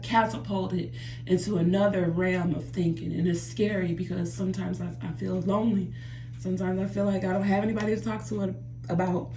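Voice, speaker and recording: A woman's voice: mid-range voice, a little mellow, slight background noise. Earnest woman vlogging in an echoey bathroom. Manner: earnest, repetitive, addresses viewers as "y'all". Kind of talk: reflective personal vlog. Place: home bathroom by a running jacuzzi.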